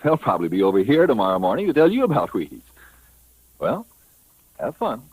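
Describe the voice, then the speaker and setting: A man's voice: on the low side, wildly swooping pitch, a little fast, a little mellow, rough recording. An American man delivering a vintage radio sponsor spot in a folksy, confiding, chatty way. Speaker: radio advertising announcer.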